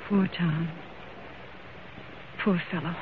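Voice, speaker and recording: An actress playing a genteel, gently caring woman in old-time radio drama style. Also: lowish voice, fairly even intonation, takes it slowly. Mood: pitying. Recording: tinny, very noisy old radio broadcast.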